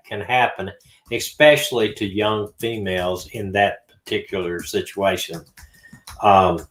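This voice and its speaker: A middle-aged man with a Southern American accent and a lowish voice talks in a loose, folksy ramble, full of fillers and restarts. He hosts a casual livestream. The sound carries a roomy echo. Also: fairly bright tone. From Southern United States. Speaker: livestream host, retired detective.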